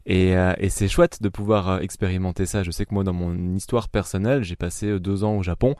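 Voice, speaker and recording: Intervieweur posé à la voix grave. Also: male voice, deep voice, fairly even intonation, dark tone, dry recording, clean studio sound. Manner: thoughtful, measured, with frequent hesitations. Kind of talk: conversational interview.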